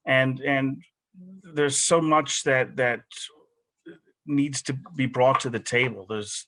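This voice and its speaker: A man's voice: mid-range voice, fairly bright tone, no echo, poor audio. Hesitant man on a dry video call. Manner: hesitant, many restarts. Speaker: school council candidate. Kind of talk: candidate forum answer over video call.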